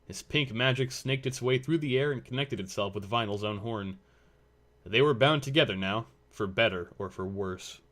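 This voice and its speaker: A man's voice: medium pitch, normal speed, a bit bright. A man reading scripted story narration as the narrator of a fan fiction audio drama.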